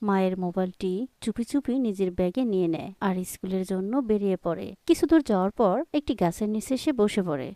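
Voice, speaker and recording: Lady narrator for a kids cartoon story, mellow dark tone, dry studio-like sound, medium pitch. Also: animated, fast, few pauses, dry recording. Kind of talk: scripted children's story narration.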